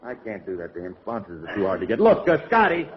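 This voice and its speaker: Lively, exasperated radio comedy fellow. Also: male voice, lowish voice, fast. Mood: flustered. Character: hapless, exasperated bandleader golfer, fretful wisecracking man. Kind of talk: 1940s-50s radio comedy acting. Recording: dull vintage radio, audience, faint noise.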